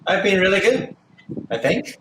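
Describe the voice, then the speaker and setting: A man, answering interview questions thoughtfully with frequent pauses and restarts. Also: high voice, big pitch swings, reverberant, background noise. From Australia. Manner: thoughtful, hesitant, many fillers and restarts. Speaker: guest actor and filmmaker.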